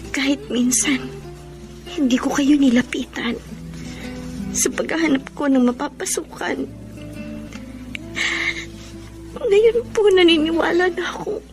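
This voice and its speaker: An actress playing an earnest, emotional young jobseeker daughter in a Filipino radio drama, with a Filipino accent. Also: female voice, high-pitched, full character voice. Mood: tearful, remorseful.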